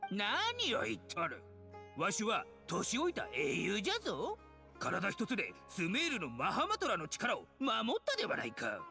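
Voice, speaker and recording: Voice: mid-range voice, wildly swooping pitch, quick pace, creaky, bright, forceful tone, soft background music, full character voice. An actor voicing a pompous, blustering old scholar with archaic elderly speech in an anime game. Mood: boastful. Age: older. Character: pompous, indignant old scholar who calls himself an aged hero. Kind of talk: Japanese anime game voice acting.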